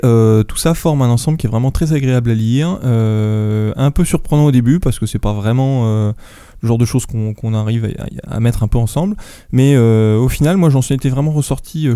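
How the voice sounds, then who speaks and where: Man with a stuffy nose, very dark voice. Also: mid-range voice, dry recording, clean studio sound. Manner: rambling, many fillers and restarts. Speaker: book columnist. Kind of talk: casual book review.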